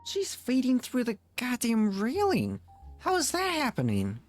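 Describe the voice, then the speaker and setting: A woman's voice: a little high, huge pitch swings, measured pace, faint music behind. A woman streaming a game, reacting casually with sarcasm and disbelief. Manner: sarcastic, incredulous.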